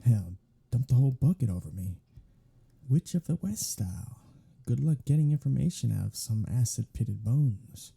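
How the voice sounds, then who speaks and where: A man playing a captive vampire in an audio roleplay, snarky, taunting and flirtatious, drawling sarcastic lines in a husky, gravelly voice. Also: medium pitch, lively intonation, moderate pace, mellow, exaggerated voice. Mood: defiant, irritated. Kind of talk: scripted audio roleplay monologue.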